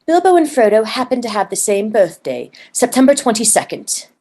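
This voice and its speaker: A woman's voice: a little high, very animated, fairly quick, dark tone. Woman reading a storybook aloud. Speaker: volunteer story reader. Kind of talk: storybook read-aloud narration.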